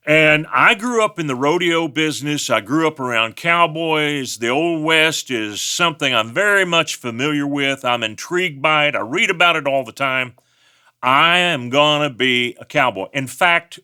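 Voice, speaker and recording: A man's voice: on the high side, bright, forceful tone. A man with a West Texas accent, a pastor preaching a sermon through folksy personal stories. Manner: folksy storytelling with personal anecdotes.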